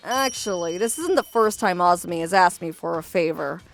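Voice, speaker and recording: A woman voicing a young office worker who narrates her own story in a manga dub, gentle and measured. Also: lowish voice, lively intonation, creaky, over music.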